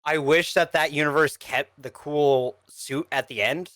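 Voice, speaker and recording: A man co-hosting a casual film review, explaining things in a halting, conversational way. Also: on the high side, steady tone, a bit bright, no echo, studio quality. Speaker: podcast co-host reviewer.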